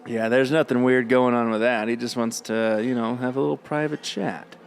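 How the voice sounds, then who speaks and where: A man co-hosting a podcast, reading listener stories aloud at an even, middling pitch. He breaks in with dry, sarcastic comments between passages. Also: normal speed, vocal fry, faint music behind.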